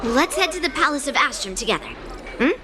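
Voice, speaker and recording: A woman's voice: sits high, very animated, fast talker, noisy background. A woman voicing a blunt, sword-loving young girl swordmaster in a game, speaking plainly and directly. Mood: curious, casual. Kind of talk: scripted game dialogue acting.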